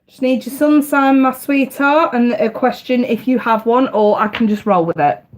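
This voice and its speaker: A woman's voice: fairly high pitch, moderate pace. A woman, casually hosting a live tarot stream, chatty and talking straight to her viewers, her voice bunged up with congestion. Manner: chatty, addresses viewers directly.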